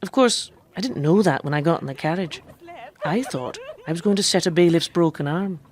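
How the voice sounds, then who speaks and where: A woman's voice: on the low side, animated, a little mellow, lightly acted. An actress playing a defiant, cheeky woman quack doctor who lives as a man, with wry, knowing delivery in a radio drama. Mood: wry, confiding. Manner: wry, knowing storytelling, quick retorts. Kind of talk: radio drama acting and first-person narration.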